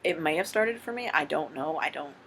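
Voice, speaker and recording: A man's voice: fairly high pitch, animated, a little fast, a bit bright, slight background noise. Frank, rambling young man vlogging. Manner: frank, rambling, swears freely. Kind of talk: casual vlog Q&A.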